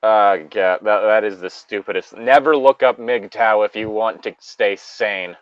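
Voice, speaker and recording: A man's voice: on the low side, a little slow, clean tone, no echo, muffled recording. A man hosting a casual chat, talking in a crude, joking manner with frequent swearing. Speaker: podcast host.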